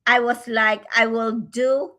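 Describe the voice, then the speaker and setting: Woman co-host, non-native English speaker, slow halting delivery with lots of "uh", bright tone, casual livestream talk. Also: a little high, flat delivery. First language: not English. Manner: slow, halting, many fillers.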